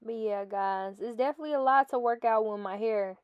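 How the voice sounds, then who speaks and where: A woman's voice: fairly high pitch, fairly quick, clear, resonant tone, no echo, highs cut off. A young woman with a stuffy nose, chatting casually as a fitness vlogger over her workout footage and often calling her viewers "guys.".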